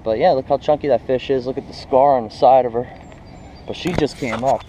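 A young man with an American accent talks casually as a fishing vlogger. His voice is relaxed and medium in pitch. He speaks informally to his viewers, recorded on an action camera outdoors with faint noise behind him. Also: fairly quick, low-quality recording. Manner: informal, addresses viewers as guys. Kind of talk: casual vlog chat.

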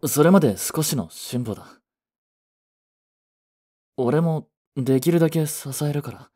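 A man playing a blunt, devoted young boyfriend in a romantic voice drama, with a breathy voice and heavy emotion. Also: a little high, a little slow, dark tone. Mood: reassuring. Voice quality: breathy, slightly husky. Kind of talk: romantic Japanese voice drama acting.

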